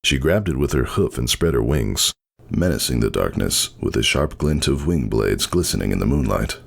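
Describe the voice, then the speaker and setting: A man's voice: rumbling low, animated, moderate pace, creaky, husky. A man narrating a fantasy story in a dramatic, scripted reading style.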